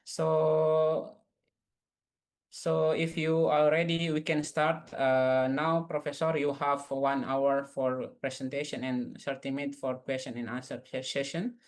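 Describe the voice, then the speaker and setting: A man's voice: on the high side, level tone. Young man moderating an online seminar. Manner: careful, halting, frequent fillers. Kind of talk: formal seminar introduction. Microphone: video call.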